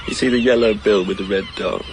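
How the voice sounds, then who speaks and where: A man's voice: fairly low pitch, fairly even intonation, quick pace, background noise, close to an everyday voice. An actor playing a shabby, laconic male police sergeant in a radio drama, plain and matter-of-fact. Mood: flatly informative.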